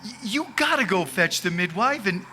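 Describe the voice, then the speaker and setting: A man playing an anxious, tender rural father in an old-time radio drama, speaking in a folksy, halting, urgent way. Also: high-pitched, animated, sharp tone, faint music behind, exaggerated voice. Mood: reluctant, urgent.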